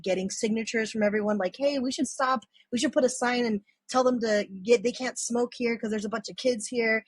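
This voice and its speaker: A woman's voice: medium pitch, slightly rough, no room sound, rough recording. A woman in her thirties from San Francisco, a guest on a podcast, who tells stories in a rambling way full of fillers, restarts and casual swearing. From San Francisco, USA. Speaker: podcast guest and community organizer. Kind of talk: casual storytelling chat.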